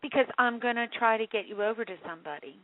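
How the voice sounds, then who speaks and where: A woman's voice: a little high, fast talker, no echo. A woman answering a phone line, giving a caller procedural, noncommittal directions. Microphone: telephone. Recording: tinny phone line with faint noise.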